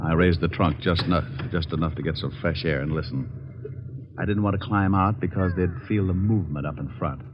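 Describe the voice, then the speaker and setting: A man playing a tough, wisecracking private detective in 1940s radio style, narrating in a clipped, wry, steady manner. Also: low voice, fairly quick, dull audio, background noise, lightly acted. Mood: cool, wry. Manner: hard-boiled, clipped, wry. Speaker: lead actor and narrator. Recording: old radio broadcast, music behind.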